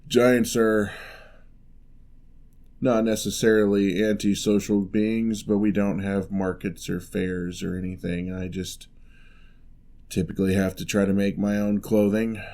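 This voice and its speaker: A man playing a lonely, gentle male giant in a fantasy ASMR roleplay, speaking in a measured, wry, slightly formal way. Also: fairly low pitch, level tone. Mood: matter-of-fact, bargaining.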